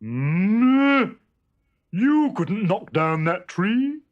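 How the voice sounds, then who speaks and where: Boastful, slow-witted storybook bull: very draggy delivery, hesitant bluster, drawn-out mooing hums. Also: male voice, high-pitched, very animated, phone-quality sound, pitched above the performer's own voice, exaggerated voice. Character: boastful, dim-witted great bull, full of feeble excuses. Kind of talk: children's storybook character voice.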